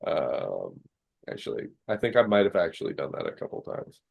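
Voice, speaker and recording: A man's voice: sits low, huge pitch swings, brisk, gravelly, muffled recording. A young man co-hosting a casual movie podcast, joking, swearing and losing his train of thought. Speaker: podcast co-host.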